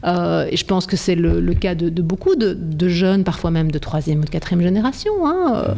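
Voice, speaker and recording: A middle-aged woman with a low, mellow voice. She speaks in a measured, reflective way, pausing with fillers and restarting phrases as she works through her point in a studio interview. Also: lively intonation, creaky. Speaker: interview guest, teacher. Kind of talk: thoughtful interview talk.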